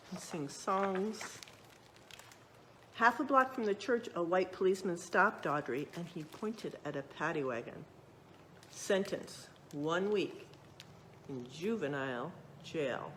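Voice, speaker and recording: A woman reading a children's picture book aloud, expressively, pausing to check in with young listeners. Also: on the low side, lively intonation, slight background noise. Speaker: read-aloud storyteller.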